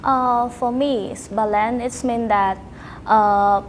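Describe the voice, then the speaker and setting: High-voiced young Cambodian woman, halting speech. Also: steady tone, slight background noise. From Cambodia, Battambang province. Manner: halting, searches for words in non-native phrasing. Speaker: podcast guest, teacher and student. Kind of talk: casual interview answers.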